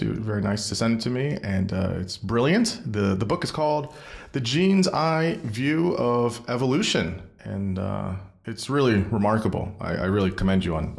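Low gravelly-voiced podcast host. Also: male voice. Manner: enthusiastic, praising, many fillers and restarts. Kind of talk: podcast introduction and interview.

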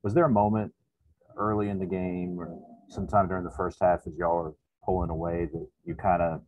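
A man's voice: deep voice, little pitch movement, brisk, darkish tone, dry recording, dull audio. A man, a sports reporter, asking a long, meandering question over a video call. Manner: meandering, thoughtful phrasing.

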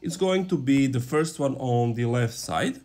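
A man's voice: medium pitch, expressive, unhurried, a little mellow. A man in his twenties hosting a casual step-by-step tech tutorial in an informal, instructional way. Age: 20s. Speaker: tutorial host. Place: small room indoors.

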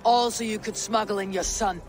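An actor playing a stern, cunning warrior god in a fantasy video game, speaking in a formal, authoritative manner. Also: male voice, high-pitched, fairly even intonation, normal speed, soft background music, lightly acted. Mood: commanding. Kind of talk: dramatic fantasy game acting.

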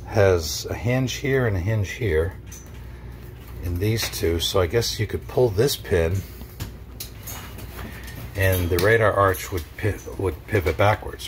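A very low-voiced man ambles through a casual boat tour, talking slowly and thinking aloud. He is recorded outdoors on a camera mic, with clear wind and water noise behind him. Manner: relaxed, thinking aloud, trailing off. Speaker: boat owner touring a yacht. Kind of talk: casual walkthrough commentary. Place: outdoors on a docked boat in a marina.

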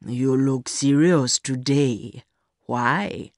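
A woman voicing an older rich man, a smooth, condescending and fatherly secret lover in an audiobook story. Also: extremely low pitch, very slow, a little mellow, dry recording, studio quality, pitched below the performer's own voice, heavily acted. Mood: smooth, probing. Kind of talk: acted dialogue in audiobook story.

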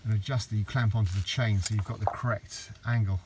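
A middle-aged man with a low voice, rambling through a casual hands-on garden demonstration with lots of restarts. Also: fairly even intonation, quick pace, very noisy. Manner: rambling, with many restarts and fillers. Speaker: DIY vlogger. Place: outdoors in a garden.

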